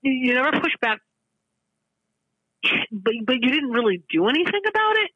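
A woman of fifty-five calling in to a show, speaking earnestly and candidly in halting, restarted sentences. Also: high-pitched, big pitch swings, slightly rough, no echo, highs cut off. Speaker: regular caller to a show. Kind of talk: candid emotional conversation.

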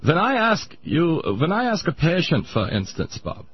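A man voicing a pompous old male Viennese psychoanalyst, lecturing in a smug, drawn-out way. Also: a little high, wildly swooping pitch, breathy edge, highs cut off, heavily acted.

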